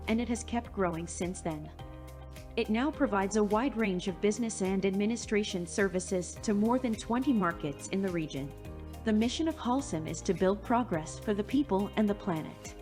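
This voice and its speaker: A woman reading a scripted corporate video narration in a smooth, measured way. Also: medium pitch, darkish tone.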